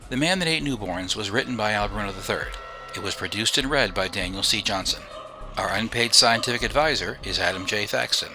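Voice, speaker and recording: A man's voice: fairly low pitch, brisk, poor audio. A man hosting a podcast, reading scripted credits and announcements. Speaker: podcast producer and host. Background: music.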